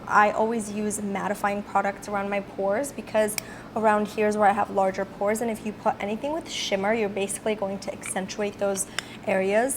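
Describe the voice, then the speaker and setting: A woman with a slightly raspy voice from a cold, chatting casually as she walks through her makeup routine step by step. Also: medium pitch, a little hiss or hum. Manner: chatty, filler-heavy, explaining each step. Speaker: beauty vlogger. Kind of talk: casual makeup tutorial narration.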